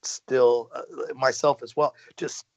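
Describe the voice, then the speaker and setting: A man, a podcast guest, talking casually in a halting way, restarting and trailing off. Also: mid-range voice, steady tone. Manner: halting, restarts and trailing phrases with short laughs. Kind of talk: casual conversation. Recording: dull lo-fi podcast audio.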